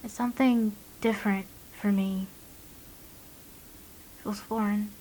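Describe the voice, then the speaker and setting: An actress playing an anxious, guarded young mother confiding haltingly and emotionally into an audio diary in a drama. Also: medium pitch, fairly even intonation, mellow, poor audio. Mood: subdued, unsure.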